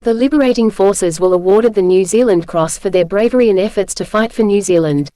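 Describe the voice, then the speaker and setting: A woman reading a scripted government alert as an emergency broadcast announcer, formal and measured in delivery. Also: medium pitch, warm tone, no echo.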